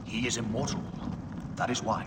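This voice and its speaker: An actor playing a covetous, purposeful Roman conspirator in a game cutscene, decisive and formal. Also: male voice, fairly low pitch, steady tone, normal speed, natural voice. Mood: intent, grasping. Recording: distant, reverberant, clear background noise.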